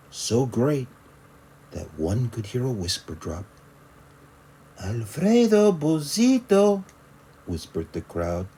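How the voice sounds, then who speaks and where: A man reads a children's story aloud with plenty of drama. His pitch moves widely and expressively, and he takes his time over the phrases. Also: darkish tone, a little hiss or hum, rough recording. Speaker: storybook reader. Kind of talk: animated children's story narration.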